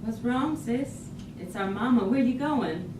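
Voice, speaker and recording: An actress in a staged reading playing a blunt, skeptical but loyal sister. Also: female voice, on the high side, unhurried, close to an everyday voice. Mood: scolding. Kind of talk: staged reading, dramatic dialogue. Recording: echoey room, distant mic, noisy.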